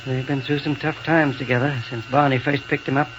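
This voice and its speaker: A man playing a kindly, cautious doctor in a 1930s radio serial, soothing and deliberate, on a noisy old broadcast. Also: medium pitch, level tone, fairly bright tone, lightly acted. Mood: grave, explaining. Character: kindly, cautious doctor tending a hurt boy.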